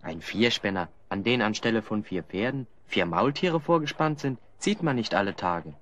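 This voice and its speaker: Lively, wry adventurer hero. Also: male voice, fairly low pitch, moderate pace, muffled recording. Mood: curious. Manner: wry, teasing. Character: good-natured, teasing, curious adventurer hero. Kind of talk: radio play acting.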